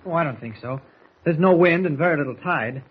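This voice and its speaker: A calm, confident adventure hero, reasoning like a mentor. The voice is slightly high and husky, in 1940s radio serial style, through a very thin, tinny old recording. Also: male voice, a little fast. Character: calm, shrewd adult male reporter hero.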